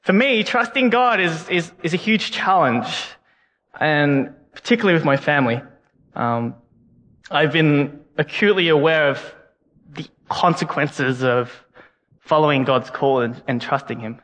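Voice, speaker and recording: An earnest young man delivers a sermon, often stumbling back over his words as he thinks aloud. His voice sits a little high for a man. The sound carries a long reverb from the hall around him. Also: animated, measured pace, fairly bright tone. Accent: non-Canadian English, unplaced. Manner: earnest, frequent word repeats. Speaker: guest preacher. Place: church hall.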